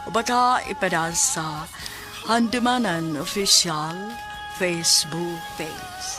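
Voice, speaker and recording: A woman with a Cebuano accent reading scripted radio drama narration as host, formal and measured. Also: on the low side. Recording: music bed under the voice. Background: music.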